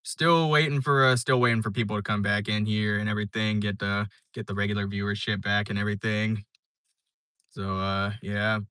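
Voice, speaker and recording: Laid-back young male streamer, bright crisp voice. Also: fairly low pitch, little pitch movement, fairly quick, dry recording, studio quality. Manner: laid-back, rambling, many fillers and restarts. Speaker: live streamer. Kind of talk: casual live stream chat, reading viewer comments.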